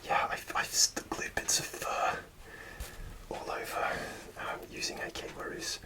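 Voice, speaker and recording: A man softly whispering a rambling ASMR story, with many fillers and restarts. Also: unhurried. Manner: soft, relaxed whisper throughout. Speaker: ASMR creator.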